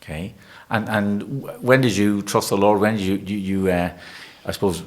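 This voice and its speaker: A man hosting an interview, asking questions in a gentle, hesitant way. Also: fairly low pitch, fairly even intonation, normal speed.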